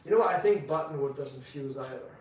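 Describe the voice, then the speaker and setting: A man co-hosting a live bonsai demo, explaining his work in a relaxed, joking way. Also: on the high side, fast talker, a little hiss or hum. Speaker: bonsai demonstrator, co-host. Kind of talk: live-stream demo chat. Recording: echoey room, far from mic.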